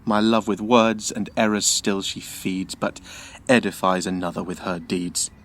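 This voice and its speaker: An actor playing a bitter, vengeful young prince with impassioned declamation in a Shakespearean audio drama. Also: male voice, sits low, fairly even intonation, unhurried, fairly bright tone. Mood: bitter scorn.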